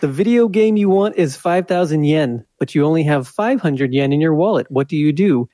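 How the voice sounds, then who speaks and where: Guy cohosting a podcast, casual news bits, bit higher voice, dry mic. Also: lively intonation, moderate pace, dark tone, no echo. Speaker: podcast co-host. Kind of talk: casual chat, presenting odd news.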